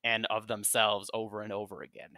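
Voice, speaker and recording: A man's voice: fairly low pitch, little pitch movement, a little fast, sharp tone, dry recording, studio quality. A man co-hosting a podcast in a conversational style. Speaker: podcast co-host.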